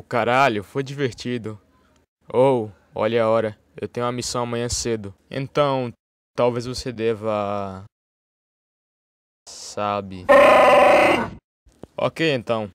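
A man's voice: mid-range voice, takes it slowly, pauses often, clear, resonant tone, no room sound, studio quality, close to an everyday voice. A Brazilian man voicing a cocky, womanizing young spaceship captain in an adult cartoon dub, smug and flippant. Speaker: fan dub voice actor. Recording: music behind the voice.

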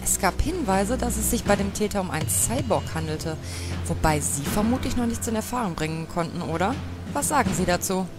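A woman's voice: medium pitch, expressive, a little fast, music in the background, close to an everyday voice. An actress playing a composed, pointed female interviewer in a German audio drama. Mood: probing. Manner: pointed, questioning.

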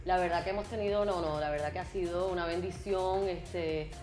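A woman's voice: fairly low pitch, fairly even intonation, a little fast, a little mellow, faint music behind. A Puerto Rican woman in her thirties, an actress chatting easily and laughing as a guest on a TV studio set. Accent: Puerto Rican Spanish. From Puerto Rico. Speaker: actress and t-shirt designer, guest. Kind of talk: casual interview chat.

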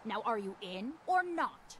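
A woman's voice: a little high, sing-song, measured pace, a bit bright, a little hiss or hum. Streetwise scavenger woman. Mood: businesslike. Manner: matter-of-fact, streetwise bargaining. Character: brisk, businesslike wasteland scavenger woman hiring mercenaries. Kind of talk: video game dialogue.

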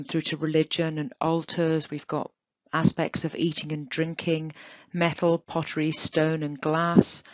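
Woman, archaeologist keynote, low voice, dull dry online recording, enthusiastic and measured. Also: dry recording. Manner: enthusiastic, measured, with occasional "um" and restarts. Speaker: archaeologist giving a keynote lecture. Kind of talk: illustrated conference talk over slides. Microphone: computer mic on a video call.

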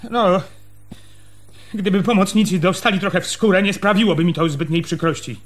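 An actor playing a stubborn, argumentative school janitor in a radio drama, speaking in a formal, wordy, insistent way. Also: male voice, high-pitched, normal speed, close to an everyday voice. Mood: dry, reasoning. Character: stubborn, argumentative male school janitor defying his superior. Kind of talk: Polish radio drama acting.

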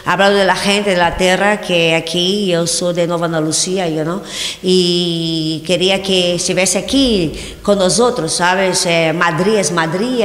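A middle-aged woman from Brazil, a Portuguese native speaker with a strong Brazilian accent, chatting playfully as a guest painter in a TV studio and rambling with frequent laughs. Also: on the low side. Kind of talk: casual TV interview chat. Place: large TV studio set. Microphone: handheld mic. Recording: echoey hall with long reverb.

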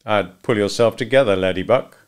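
A man's voice: fairly low pitch, a little fast, clean tone, natural voice. A man voicing a cool, self-assured hunter in a storybook reading, with a throaty, clipped, terse delivery. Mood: curt, cool. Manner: clipped, quiet-tempered, terse.

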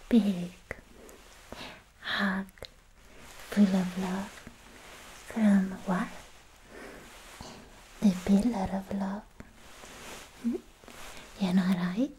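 A woman acts out a sweet young anime demon slayer girl who is hopelessly in love. Her voice is a breathy, intimate whisper, delivered extremely slowly in an affectionate ASMR roleplay style. She is right up at the microphone, with a faint hiss in the recording. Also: medium pitch, poor audio. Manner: slow, hushed, affectionate personal attention. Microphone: very close microphone.

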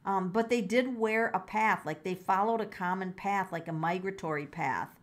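A woman's voice: medium pitch, moderate pace. A middle-aged woman doing a casual tarot reading, musing aloud, exclaiming and repeating her phrases. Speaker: tarot reader.

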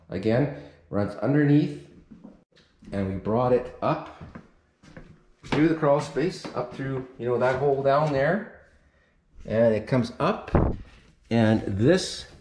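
A man's voice: mid-range voice, darkish tone. A middle-aged man casually walking through a home renovation, explaining the electrical work with lots of fillers and restarts. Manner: unscripted, many fillers and restarts, pointing things out. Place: empty room of a mobile home under renovation, bare drywall. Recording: echoey bare room.

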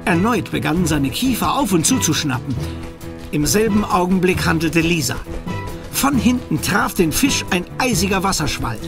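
A man's voice: high voice, big pitch swings, normal speed, gravelly. A man giving scripted narration for a German radio play. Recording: music behind.

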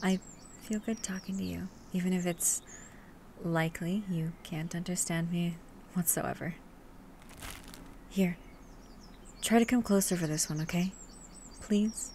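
A woman voicing a gentle, protective monster hunter in a soft-spoken ASMR roleplay, hesitant and coaxing, at times breathy and whispering. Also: fairly low pitch, measured pace, pauses often. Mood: tender, coaxing. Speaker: voice actor. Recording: steady ambient noise with birdsong.

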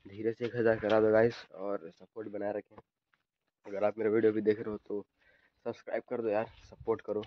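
A man's voice: fairly low pitch, little pitch movement, a little fast, no room sound. A young man chatting casually to viewers as an outdoor motorbike vlogger, on a phone at arm's length. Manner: informal, addresses viewers as guys. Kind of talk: casual motovlog chat to viewers. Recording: muffled, loud wind and vehicle noise.

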